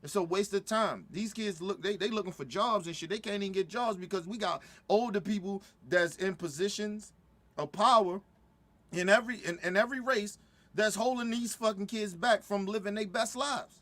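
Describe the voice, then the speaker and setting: Middle-aged man, fired-up reaction host, high-pitched voice, stumbling restarts. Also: moderate pace, fairly bright tone. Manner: heated, repetitive, stumbling restarts. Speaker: commentator reacting to a video. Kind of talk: profane casual reaction commentary.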